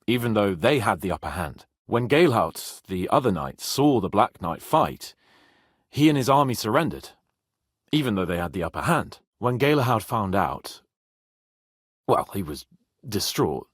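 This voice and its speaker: Casting: a young nobleman in a medieval game, lordly and loyal, lively intonation, rough-edged voice. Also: on the low side, moderate pace, no echo, studio quality. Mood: earnest, wistful. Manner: expressive, lordly, exclamatory. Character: spirited young nobleman in medieval Bohemia, haughty yet loyal. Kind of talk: dramatic video game voice acting.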